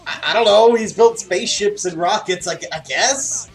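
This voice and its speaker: A man's voice: sits high, normal speed, faint music behind. A man giving casual, joking game commentary with some swearing as the host of a playthrough.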